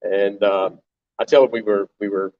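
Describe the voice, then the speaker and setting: An older man co-hosts a live talk, speaking haltingly with many fillers and restarts. His voice is fairly low and picked up from some distance. The sound is somewhat dull. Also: little pitch movement. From Tennessee. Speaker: co-host, volunteer organization leader. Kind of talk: live panel talk to an audience. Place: stage before an audience.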